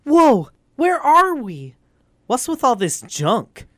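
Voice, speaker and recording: A woman playing an eager, plucky young boy with an excitable, earnest manner in a cartoon audio drama. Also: high voice, slow, no room sound, clean studio sound, heavily acted. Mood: amazed.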